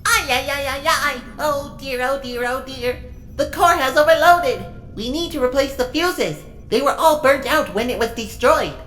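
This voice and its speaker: Woman as a high-pitched, fretful robot helper. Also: moderate pace, a bit bright, soft background music. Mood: worried. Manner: fretful, repeating exclamations. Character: anxious, fussy robot assistant. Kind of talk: audio drama acting.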